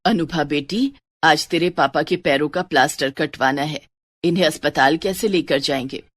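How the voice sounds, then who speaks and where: A woman's voice: low-pitched, normal speed, a little hiss or hum, pitched below the performer's own voice, close to an everyday voice. A woman voicing a worried middle-aged mother and wife in a family story, anxious and weary.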